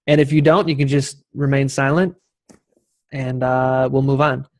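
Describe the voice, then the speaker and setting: A man's voice: medium pitch, little pitch movement, a little slow, a little mellow. A man hosting a discussion, informally and politely moderating and thanking participants. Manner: polite, appreciative. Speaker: host, moderator.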